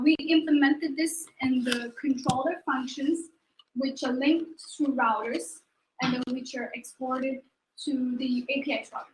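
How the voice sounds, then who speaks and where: A woman's voice: high-pitched, a bit bright. A young woman from Ethiopia giving a prepared technical presentation as a student. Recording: echoey room.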